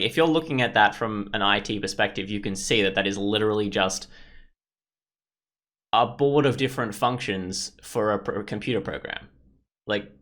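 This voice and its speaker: Young male gaming host, mid-pitched voice, loose rambling commentary, casual swearing. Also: a bit bright. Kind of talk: casual let's play commentary.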